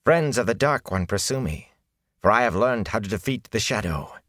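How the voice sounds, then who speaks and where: A man voicing a filthy, cringing male beggar who whines and then turns smooth, unctuous and haughty. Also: fairly low pitch, expressive, unhurried, a bit bright, no echo, studio quality, pitched above the performer's own voice. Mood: oily.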